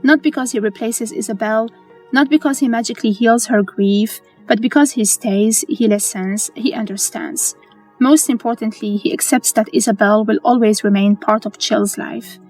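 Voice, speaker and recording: A woman reads scripted explainer narration in a measured, earnest way. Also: a little high, fairly even intonation, darkish tone, poor audio. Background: music.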